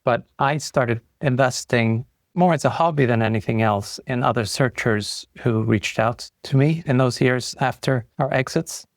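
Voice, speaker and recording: A man's voice: medium pitch, moderate pace, few pauses, dry recording, clean studio sound. A man from Europe, a guest on a podcast, telling his career story fluently and with enthusiasm. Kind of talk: conversational interview answers.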